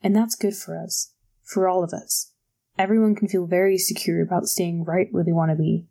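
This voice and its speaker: A woman's voice: fairly low pitch, fairly even intonation, moderate pace, creaky, dark tone. A woman voicing a cool, businesslike female team manager who speaks matter-of-factly.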